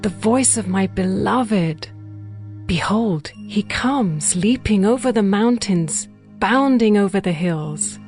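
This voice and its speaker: An actress playing a tender, devoted young bride in a dramatized scripture reading, with measured, poetic delivery. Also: female voice, mid-range voice, expressive, a little mellow, faint music behind. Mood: tender, adoring.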